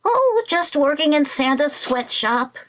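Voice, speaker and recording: A woman's voice: sits high, very animated, unhurried, a bit bright, heavily acted. A woman playing a frazzled, wry, weary housewife in 1940s radio comedy style, with dramatic exclamations. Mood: groggy, wry. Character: frazzled, stubborn young housewife knitting late, wry and weary.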